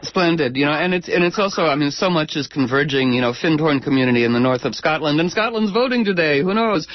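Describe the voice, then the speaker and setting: A man's voice: a little high, expressive, fast, a little hiss or hum. A man hosting a radio talk show, chatting in a rambling, effusive way with many fillers and restarts. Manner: digressive, full of fillers and restarts. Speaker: radio host. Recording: dull, boxy radio audio.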